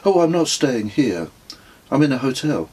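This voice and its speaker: A man voicing a prim middle-aged English woman hiker, brisk and cheery, in an audiobook story. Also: mid-range voice, very animated, mellow, slightly rough, pitched above the performer's own voice, rough recording. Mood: bright.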